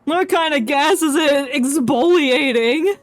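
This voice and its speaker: A woman's voice: sits high, slow pace, soft background music. A woman streaming a game with casual, irreverent joking commentary. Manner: irreverent, wisecracking.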